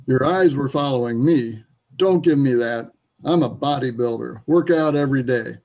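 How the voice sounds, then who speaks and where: A man's voice: medium pitch, normal speed, darkish tone, close to an everyday voice. A man playing a drunk, belligerent bodybuilder bully in a readers theater piece, taunting and confrontational. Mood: bitter, taunting. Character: drunk, belligerent, self-loathing bodybuilder bully in a small town. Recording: dull, muffled sound.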